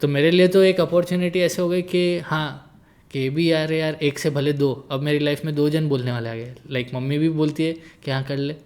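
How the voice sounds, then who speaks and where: A man's voice: on the high side, fairly even intonation, moderate pace, a little mellow. A man in his late twenties, a guest in a casual conversation, talking in an easygoing, joking way and slipping English phrases into his sentences. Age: 20s. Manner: jokey, easygoing, mixes English into Hindi.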